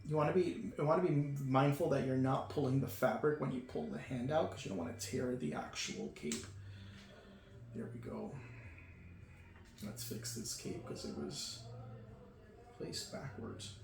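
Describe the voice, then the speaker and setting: A man's voice: medium pitch, lively intonation, a little slow, vocal fry, pauses often. A man giving casual, enthusiastic unboxing commentary, gushing over each piece with lots of fillers. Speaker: toy reviewer. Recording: echoey room with faint hum.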